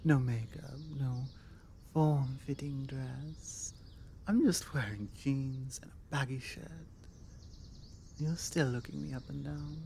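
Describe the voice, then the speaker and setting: A woman's voice: very deep voice, steady tone, slow, vocal fry, mellow, slight background noise, pitched below the performer's own voice, full character voice. A woman voicing a confident, teasing, forward female vampire with a British accent in an ASMR roleplay. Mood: flirty, confident. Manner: flirtatious, self-assured, teasing.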